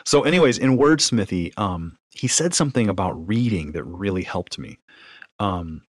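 A man with a fairly low voice co-hosts a casual podcast about books. He addresses listeners directly and stresses his points. The recording is dry, free of room sound. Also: animated, moderate pace, dry recording. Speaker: podcast co-host.

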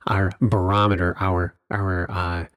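A man with a fairly low voice gives an informal Bible devotional for a podcast. He explains earnestly and deliberately, and the recording is close and very dry, with no room sound. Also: little pitch movement, fairly bright tone, no room sound.

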